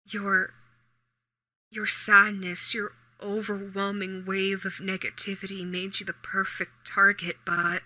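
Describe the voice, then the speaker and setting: A woman voicing an obsessive shapeshifting doppelganger posing as someone's ex, speaking haltingly in an intimate horror audio roleplay. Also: mid-range voice. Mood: hushed, obsessive longing. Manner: halting, stammering, soft-spoken in menace.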